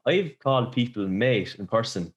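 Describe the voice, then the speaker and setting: An Irish man from Limerick, a podcast guest telling stories in casual chat, talking fast and laughing often. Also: medium pitch, expressive. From Ireland. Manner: chatty, laughs often, fast storytelling with quoted asides.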